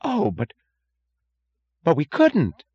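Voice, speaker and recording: A man's voice: mid-range voice, big pitch swings, moderate pace, a little mellow, no room sound, lo-fi sound, pitched above the performer's own voice. A man voicing an anxious, evasive official who talks in a flustered, urgent way. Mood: flustered, protesting.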